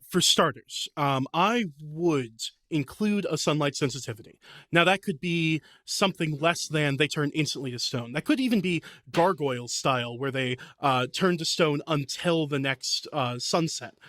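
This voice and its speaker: A man with a slightly high voice, recorded close and dry. He talks like an eager game master on a podcast, rambling through lore and tales with real enthusiasm. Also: a bit bright, dry recording. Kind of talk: animated casual explanation of fantasy lore.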